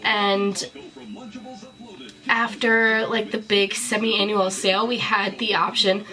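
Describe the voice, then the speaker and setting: A woman's voice: medium pitch, flat delivery, takes it slowly, pushed and bright. A woman in her twenties with a cold, chatting casually to the camera in a bedroom as she shows off a collection. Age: 20s. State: sick with a cold. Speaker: vlogger. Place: small bedroom, indoors.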